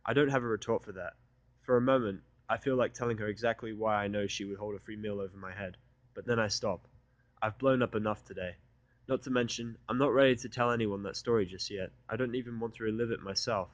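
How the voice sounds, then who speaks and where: A man's voice: on the low side, steady tone, fast, dry recording, lo-fi sound. A man narrating first-person fiction with a sardonic, irritable delivery. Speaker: audiobook narrator.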